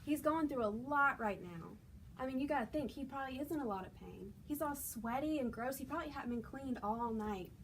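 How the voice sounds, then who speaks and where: A woman's voice: sits high, expressive, fairly quick, fairly bright tone. A woman acting a compassionate angel who reasons and encourages in an amateur skit. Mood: gently persuasive. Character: compassionate female shoulder angel advising a nurse. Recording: distant microphone, noisy room.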